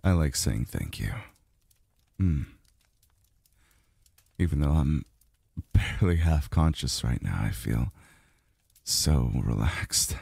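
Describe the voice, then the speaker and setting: A man playing a weary, tender immortal lover in an intimate ASMR roleplay, murmuring haltingly with sighs, close to the microphone. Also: lively intonation, darkish tone, no echo, exaggerated voice. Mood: relaxed, grateful. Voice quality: very deep, gravelly, breathy. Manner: halting, murmured, sighing delivery. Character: weary, tender adult male immortal being soothed by a lover. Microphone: close dry microphone.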